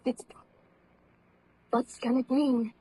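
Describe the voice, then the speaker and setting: An actress playing an anxious, devoted wife in an old radio horror drama, earnest and theatrical with formal diction. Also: female voice, sits high, little pitch movement, takes it slowly, warm tone, a little hiss or hum. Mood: terrified. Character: anxious, devoted wife, frightened but soothing.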